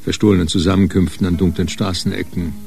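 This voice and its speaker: Deep-voiced male crime narrator. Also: flat delivery, fast talker, vocal fry, warm tone, faint music behind. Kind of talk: literary scripted crime narration.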